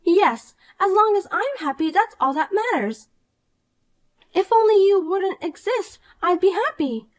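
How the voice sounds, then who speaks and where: A woman's voice: very high voice, big pitch swings, a little slow, pitched above the performer's own voice, heavily acted. A woman voicing a shallow, selfish, jealous young woman in a dramatized story, accusing and self-pitying. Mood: whiny.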